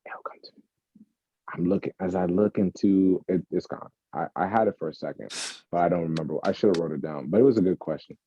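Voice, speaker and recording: Deep, mellow-voiced podcast host, lo-fi. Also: male voice, quick pace, slightly rough, no room sound. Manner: breaks into off-key singing of song snippets. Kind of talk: casual chat.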